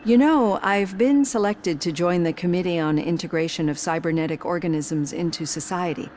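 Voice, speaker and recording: Woman as a low-voiced, caring doctor. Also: moderate pace, a little mellow, a little hiss or hum, close to an everyday voice. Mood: earnest. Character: caring, articulate female doctor, composed and earnest. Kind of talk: video game cutscene acting.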